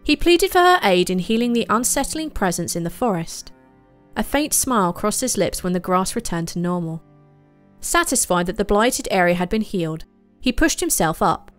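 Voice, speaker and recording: A woman's voice: medium pitch, very animated, normal speed, faint music behind. A woman reading scripted fantasy story narration.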